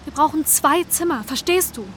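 Actress doing young mom in radio play, high voice, anxious n pleading, halting, bit of traffic noise behind. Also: female voice, lightly acted. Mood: pleading, insistent. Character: anxious, insistent young mother needing a flat. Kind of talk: intimate radio drama dialogue.

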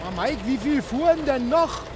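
Very high-pitched, impatient village man. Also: animated, normal speed, very noisy. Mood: impatient, worried. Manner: colloquial, complaining. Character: impatient, grumbling village helper. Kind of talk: naturalistic German radio drama.

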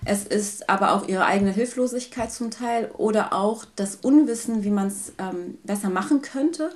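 A woman from Germany, a guest in an interview, talking about her life in a thoughtful, hesitant way with many pauses. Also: medium pitch, a little mellow. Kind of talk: personal conversation.

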